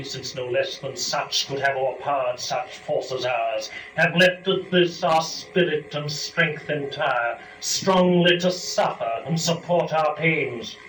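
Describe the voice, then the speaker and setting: A man's voice: mid-range voice, bright, forceful tone. A man playing a grave, rueful fallen angel, a doubting lieutenant in hell, declaiming epic verse formally and solemnly. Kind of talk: dramatic reading of epic verse. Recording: roomy, distant mic, faint noise.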